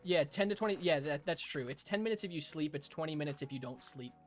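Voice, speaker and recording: A hoarse young man live-streaming a game, chatting casually with viewers, chuckling and thanking them. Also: fairly high pitch, animated, fast talker, faint music behind. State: hoarse, raspy throat.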